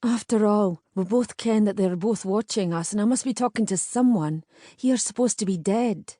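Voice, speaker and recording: A woman's voice: mid-range voice, moderate pace, warm tone, dry recording, studio quality, close to an everyday voice. A woman playing a firm young Scottish heroine. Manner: firm, commanding. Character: bold young Scottish woman, steady, sharp-tongued. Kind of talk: audiobook character voice.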